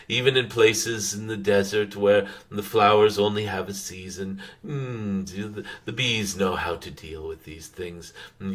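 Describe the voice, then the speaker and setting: Low-voiced man channeling a wise, halting dragon spirit. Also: a bit bright. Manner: measured, halting, frequent thoughtful "mm" hums between phrases; formal, archaic-tinged phrasing. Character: wise, benevolent dragon spirit addressing humans. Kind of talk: channeled spiritual message, slow unscripted address to listeners.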